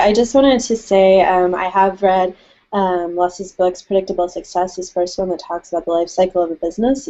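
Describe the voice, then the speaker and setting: A woman's voice: fairly low pitch, dark tone. A woman hosting an online panel, enthusiastic and full of "um" fillers. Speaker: host. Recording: dull lo-fi online call audio.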